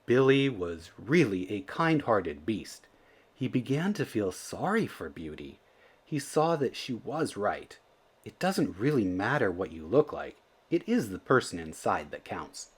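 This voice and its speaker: A man narrating a children's storybook aloud in an expressive, theatrical way, leaning into the emphatic words. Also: fairly low pitch, measured pace, breathy edge. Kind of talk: animated read-aloud narration.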